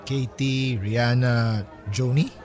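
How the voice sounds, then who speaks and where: A man's voice: mid-range voice, steady tone, takes it slowly, darkish tone, a faint crowd, close to an everyday voice. A man playing a reserved, upright younger half-brother, questioning and reproachful, in a Filipino radio drama. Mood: unsure. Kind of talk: Tagalog radio drama dialogue, conversational. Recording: faint music behind the voice.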